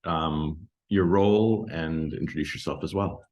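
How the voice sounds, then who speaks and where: Male moderator, very low voice, gentle and hesitant. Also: a little slow, muffled recording. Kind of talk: panel moderation.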